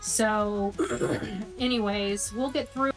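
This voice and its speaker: A woman talking casually to an online audience in an earnest, halting way. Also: medium pitch, fairly even intonation, faint music behind. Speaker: online video creator.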